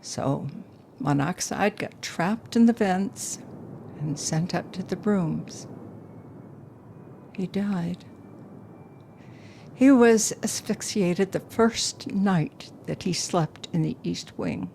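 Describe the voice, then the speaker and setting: A woman's voice: lowish voice, slow pace, vocal fry, pauses often, warm tone, slight background noise. An actress playing a self-possessed, grieving businesswoman, telling her story reflectively in an intimate audio play monologue. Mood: somber, regretful.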